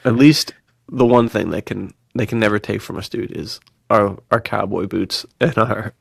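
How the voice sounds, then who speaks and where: A man's voice: on the low side, normal speed, gravelly, breathy edge, no room sound, studio quality. A man chatting casually as a podcast co-host, enthusiastic and full of bro slang. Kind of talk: casual chat.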